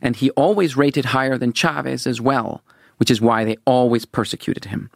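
Interview guest: middle-aged man, gravelly, dry close sound, earnest, stumbles on restarts. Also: mid-range voice, steady tone, moderate pace, dry recording. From Venezuela. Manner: earnest, repeats words when starting sentences. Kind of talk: political interview.

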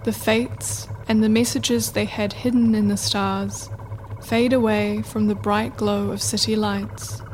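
A woman reading scripted narration for a radio play in a measured, deliberate way. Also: medium pitch, a little mellow, a little hiss or hum, low-quality recording.

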